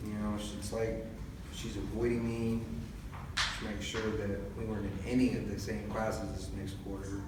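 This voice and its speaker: A man's voice: lowish voice, flat delivery, moderate pace, lightly acted. A young man acting a lovesick, brooding college student in an amateur drama, speaking earnestly and plainly. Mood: troubled, needy. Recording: very echoey room, distant mic, clear noise.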